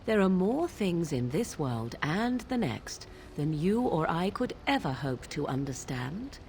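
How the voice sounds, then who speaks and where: An actress playing an aloof, sardonic young witch of the wilds in a fantasy video game, with formal archaic diction and a deliberate, theatrical delivery. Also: sits low, big pitch swings, a little mellow, a little hiss or hum, exaggerated voice. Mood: arch, challenging.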